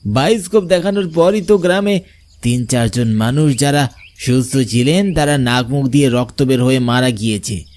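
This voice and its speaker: A man's voice: mid-range voice, moderate pace, darkish tone, natural voice. A man playing an angry villager in a cartoon horror story, accusing and confrontational. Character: angry male villager accusing and threatening a stranger.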